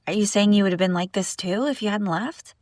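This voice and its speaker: A woman playing a sharp-tongued young princess bride who is secretly lovesick, in an intimate audio roleplay, teasing and indignant with sighs and broken-off phrases. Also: mid-range voice, a little fast, no echo, studio quality, close to an everyday voice. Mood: frustrated, wounded. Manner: teasing, indignant, sighing, breaking off mid-sentence. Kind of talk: intimate scripted audio roleplay addressed to listener.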